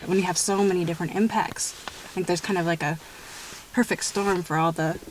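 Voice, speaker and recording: A woman's voice: on the low side, steady tone, a little fast, poor audio. A young woman, a water quality specialist, explaining things outdoors beside a creek in an eager, explanatory way. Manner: explanatory, enthusiastic, laughs lightly. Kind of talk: field interview explanation. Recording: outdoor field recording with noise. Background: outdoor ambience.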